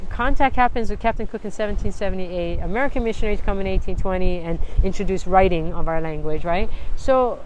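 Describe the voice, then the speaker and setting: A woman's voice: mid-range voice, fast talker, hardly any pauses, a little mellow, slight background noise, low-quality recording. A middle-aged woman from Hawaii, a college dean eagerly telling history in a casual interview and often checking in with "right?".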